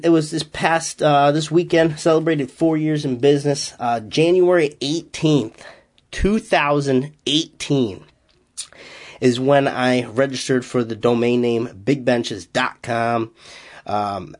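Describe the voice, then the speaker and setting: A man's voice: on the high side, measured pace. A man from Massachusetts with a slight Massachusetts accent, a strength coach hosting his own podcast and talking casually in an upbeat, rambling way with lots of fillers. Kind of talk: casual solo podcast talk.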